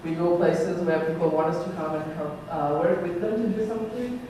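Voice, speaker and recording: A woman's voice: on the low side, brisk, dark tone, slight background noise. A woman from Sri Lanka, a robotics researcher giving an earnest conference talk with many ums and uhs. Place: lecture hall. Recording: echoey hall from far off.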